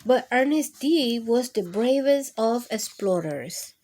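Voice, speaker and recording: A woman hosting a children's storytime, reading picture books aloud expressively with drawn-out emphasis for young listeners. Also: a little high, expressive, warm tone, a little hiss or hum. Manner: drawn-out, emphatic delivery addressed to small children.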